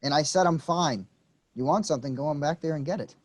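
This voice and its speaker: A man's voice: fairly high pitch, quick pace, natural voice. A man playing Bobby, a brash, blunt young man in a staged play reading. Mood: irritated. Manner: loud, offhand, needling.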